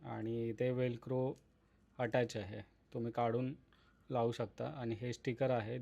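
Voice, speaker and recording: Man, even-toned, dull recording. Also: medium pitch. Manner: explanatory, addresses viewers as friends. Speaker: vlogger presenting a product unboxing. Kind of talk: casual unboxing vlog commentary.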